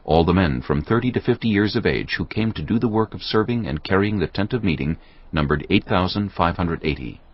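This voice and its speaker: A man with a very low, rough-edged voice narrates scripture. His delivery is solemn and measured, yet rises and falls widely in pitch. The recording is a little dull. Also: expressive. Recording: slightly dull, muffled sound.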